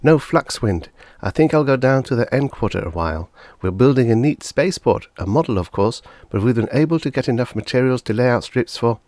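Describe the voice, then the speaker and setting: Man voicing an earnest young boy, pitched up. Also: expressive, brisk, hardly any pauses, a little mellow, dry recording, natural voice. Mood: eager, curious. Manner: mild, inquisitive. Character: earnest, curious young boy in space colony. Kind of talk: audiobook character voice.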